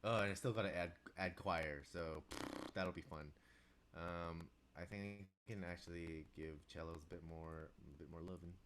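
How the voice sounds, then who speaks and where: A young man with a very low voice, casually talking to himself while composing on a live stream, rambling with restarts and asides. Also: steady tone, moderate pace, heavy background noise. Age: young adult. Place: small room indoors. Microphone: desk microphone, wearing headphones.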